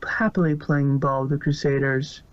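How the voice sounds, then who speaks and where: A man's voice: medium pitch, fairly even intonation, moderate pace, no echo. A man narrating fan fiction as a scripted story reading. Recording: muffled, dry lo-fi recording.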